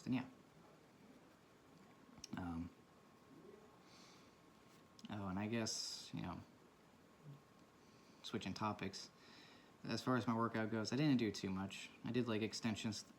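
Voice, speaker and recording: Low-voiced man, quiet rambling talk. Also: a little hiss or hum. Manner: speaks quietly, rambling and reflective. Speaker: vlogger. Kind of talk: casual talk while drawing. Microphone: camera mic from a propped camera.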